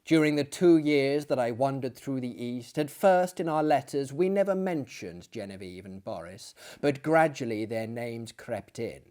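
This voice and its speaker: A man giving a dramatic, solemn narration of gothic fiction, with deliberate pauses and phrases that drop to a hush. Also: mid-range voice, expressive. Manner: theatrical, solemn, with hushed drops and deliberate pauses. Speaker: audiobook reader and performer.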